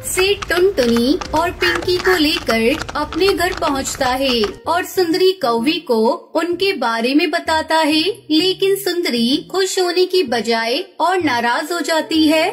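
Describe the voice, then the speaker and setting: A woman's voice: extremely high pitch, animated, slow, hardly any pauses, faint music behind. A woman reading scripted storytelling narration as the narrator of a tale.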